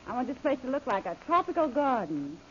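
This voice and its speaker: An actress playing a bossy, scheming femme fatale in an old radio serial, commanding and sly. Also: female voice, sits high, very animated, brisk, fairly bright tone. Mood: brisk, imperious. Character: bossy, scheming siren villainess, beautiful and vain. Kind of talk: 1930s radio serial acting. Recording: noisy, muffled old-time radio recording.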